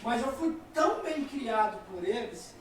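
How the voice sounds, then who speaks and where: A man's voice: extremely high pitch, measured pace, slight background noise. Brazilian man in his forties, echoey. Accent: Brazilian Portuguese. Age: 40s. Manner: calm, agreeing, tells personal examples. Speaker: talk show guest. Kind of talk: conversational talk. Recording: echoey room, voice from far off.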